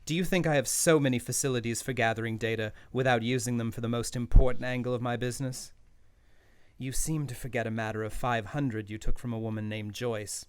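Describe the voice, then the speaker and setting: An actor playing a cold, condescending crime boss making measured threats in a radio drama. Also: male voice, medium pitch, steady tone, smooth, dry recording, close to an everyday voice. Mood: cool, menacing. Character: cold, menacing male racketeer boss.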